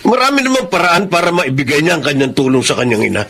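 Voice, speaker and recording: A man's voice: fairly high pitch, big pitch swings, fast talker, fairly bright tone. An actor playing an older, snobbish and domineering Filipino father-in-law in a Tagalog radio drama, indignant and commanding. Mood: scornful, commanding. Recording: faint background noise.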